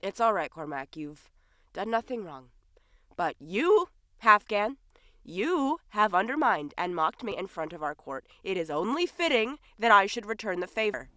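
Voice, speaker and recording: A woman's voice: mid-range voice, sing-song, moderate pace, bright, forceful tone, no room sound, studio quality. A woman acting in an audio drama as a flustered, defensive human man posing as a fairy king, with a theatrical manner. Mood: regal, defiant.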